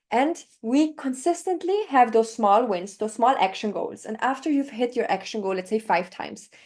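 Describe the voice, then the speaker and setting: A woman's voice: on the high side, a little mellow. A young woman in her twenties whose first language is German, coaching on language learning and eagerly walking through her method step by step in an interview. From Northern Italy. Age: 20s. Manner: enthusiastic, explanatory, walks through steps with examples. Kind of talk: interview conversation.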